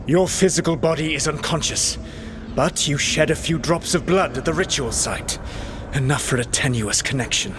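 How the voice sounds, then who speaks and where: An actor playing an ancient male elven rebel god, measured and precise, with dry sarcasm. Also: a little high, natural voice. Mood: patient, lecturing. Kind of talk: video game dialogue. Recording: game audio with steady background noise.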